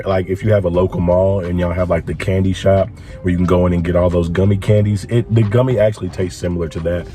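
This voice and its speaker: A young man with a very low voice and a hoarse, congested sound from a lingering chest cold. He talks in a relaxed, rambling way, full of fillers, and his tone is dark and mellow. Soft music plays faintly underneath. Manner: laid-back, frequent filler words. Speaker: vlogger reviewing products. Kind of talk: casual product review vlog.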